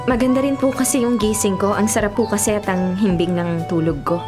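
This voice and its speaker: An actress playing a guarded, bitter daughter wary of love in a Filipino radio drama, with an expressive, sassy delivery. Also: female voice, mid-range voice, brisk, music in the background, lightly acted. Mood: cheerful.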